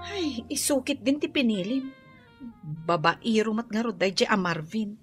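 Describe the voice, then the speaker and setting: A woman's voice: fairly high pitch, expressive, a little slow, slightly rough, faint music behind. A woman playing an outspoken, candid character in a radio drama, with a Filipino accent. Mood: indignant. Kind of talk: Ilocano radio drama acting.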